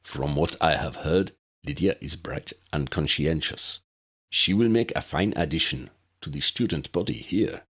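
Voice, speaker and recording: A man voicing a senior government minister in an audiobook, deep, solemn, measured and formal. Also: a bit bright, breathy edge, heavily acted.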